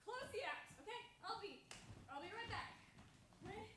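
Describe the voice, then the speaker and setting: Actress doing flustered anxious young woman in a stage play, high n swoopy voice, stammers, far from mic in noisy room. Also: expressive, moderate pace. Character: flustered, anxious young woman nervously texting her crush. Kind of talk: staged play reading before an audience. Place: indoor room, staged reading, distant mic. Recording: roomy, distant, noisy live stage recording.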